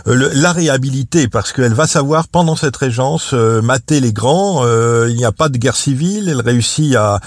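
A man of middle pitch speaks as a history expert in a radio interview. He explains carefully, stopping often to search for words. His voice sounds dry and close, with no room echo. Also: expressive. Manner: measured, hesitant explanations with frequent pauses. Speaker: historian and journalist guest.

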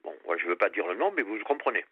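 A man's voice: on the low side, very animated, fast talker, husky, no echo. An older man from La Réunion calling a radio show by telephone, talking candidly in an earnest, outspoken way over a muffled line. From La Réunion, France. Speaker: radio phone-in caller. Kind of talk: candid personal conversation.

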